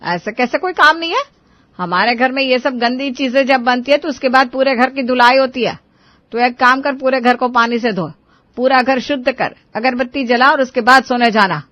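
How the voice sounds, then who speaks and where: A woman's voice: high voice, normal speed, no room sound. A woman as a bossy, scolding older mother-in-law, muffled sound. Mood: commanding. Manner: bossy, sharp, commanding. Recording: dull lo-fi recording.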